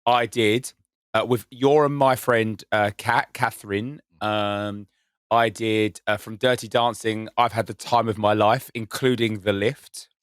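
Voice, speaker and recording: A man co-hosting a casual podcast, telling stories with enthusiasm and a touch of self-mockery. His voice sits on the low side. The sound is dry and close, with no room echo. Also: fairly even intonation, measured pace, fairly bright tone, smooth. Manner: enthusiastic, self-mocking storyteller. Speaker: podcast co-host. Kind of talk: casual chat.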